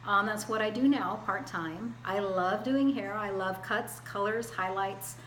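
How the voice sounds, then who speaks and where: A woman in her forties introducing herself in a homemade class video, hesitant and full of pauses and restarts. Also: medium pitch, fairly bright tone, echoey room, slight background noise. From Hawaii, US. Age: 40s. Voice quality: hesitant, many fillers and restarts. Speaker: student.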